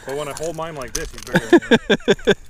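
A man's voice: a little high, lively intonation, fairly quick, noisy background. A man fishing with a friend, cheering and teasing in a casual way from a boat. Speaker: fishing buddy. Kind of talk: casual chat. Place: on a boat outdoors.

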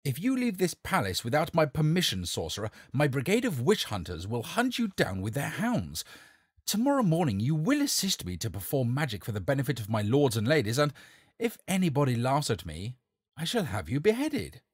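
A man's voice: medium pitch, huge pitch swings, brisk, husky, no echo, clean studio sound, voice pushed up. A man voicing a blustering, vain king. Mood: imperious. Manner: commanding, threatening, shouting.

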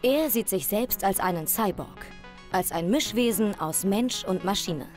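A woman's voice: mid-range voice, lively intonation, measured pace, darkish tone, soft background music. A woman reading a scripted documentary voice-over in pronunciation. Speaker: documentary narrator.

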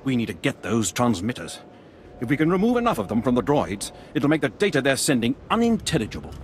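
An older, disgruntled military veteran in a game cutscene. His mid-pitched voice moves expressively, stern and grave, over faint ambient noise. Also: huge pitch swings, fast. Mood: urgent, indignant. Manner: stern, grumbling, earnest. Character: indignant veteran male Imperial officer, older, disgruntled, grave. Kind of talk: video game cutscene acting.

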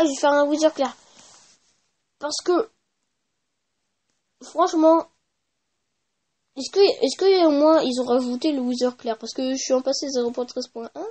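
A gaming YouTuber giving casual, informal gameplay commentary with slang and swearing. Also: high-pitched.

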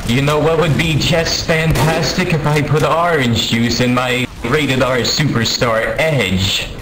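A man telling a mock-dramatic comic story over game voice chat, pompous and deliberate, with profanity thrown in. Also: medium pitch. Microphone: gaming voice chat microphone. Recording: roomy, noisy game voice-chat audio.

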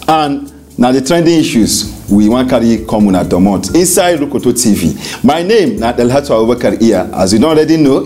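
Bright-voiced Nigerian man hosting a talk show. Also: mid-range voice. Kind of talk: presenting a studio talk show in Pidgin. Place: small indoor TV studio.

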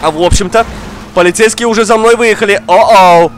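A young man, slightly under the weather, giving excitable casual gameplay commentary, exclaiming, repeating words and talking straight to the viewer. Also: high-pitched, fairly quick, a little hiss or hum. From outside Russia. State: slightly ill, coughing now and then. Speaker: gaming streamer. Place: indoor room, seated at racing wheel.

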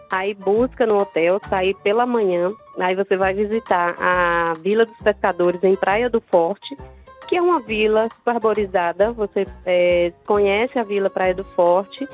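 A woman tour agent explains trips patiently and in detail, heard through a narrow phone line. her voice is mid-pitched, with faint music underneath. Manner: patient, informative.

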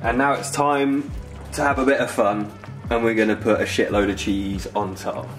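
A man's voice: on the low side, steady tone, moderate pace, soft background music. A British man in his twenties hosting a casual cooking tutorial in an informal, enthusiastic way from a home kitchen. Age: 20s. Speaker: cooking video host.

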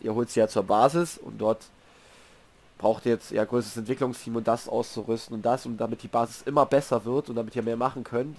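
A man's voice: mid-range voice, darkish tone. A man who has a cold, casually reviewing a video game while he plays, rambling with fillers and slang asides. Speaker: gamer giving a review. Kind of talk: casual game commentary over gameplay.

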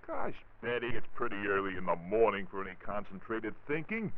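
An actor playing a flustered, forgetful American husband in a 1940s radio comedy, with hesitant, folksy exclamations. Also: male voice, on the low side, sing-song, a little fast. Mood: puzzled. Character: flustered, forgetful young-ish husband in comic sketch. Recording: tinny, phone-like 1940s radio recording.

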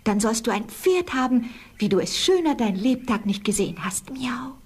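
High husky meowing little cat mistress. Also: female voice, expressive, moderate pace, a little mellow. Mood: promising. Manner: meows between phrases. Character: small female cat, mysterious commanding mistress of a castle. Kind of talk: fairy tale audio play acting.

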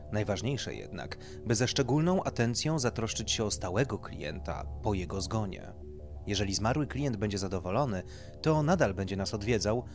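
A man reading a satirical horror story as scripted narration. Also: fairly low pitch. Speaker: narrator, audiobook reader.

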